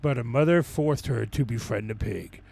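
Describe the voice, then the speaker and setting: A man with a creaky voice reading a story aloud in an informal, dramatic way, stumbling over words now and then. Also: medium pitch, moderate pace, a little mellow, no room sound. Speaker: host reading fan fiction over gameplay. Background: children's video game dialogue playing.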